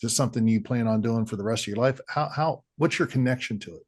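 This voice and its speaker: A man's voice: medium pitch, quick pace, a little mellow, slightly rough. A man hosting an interview, asking questions in an enthusiastic, encouraging way. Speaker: interviewer host. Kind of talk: casual interview chat.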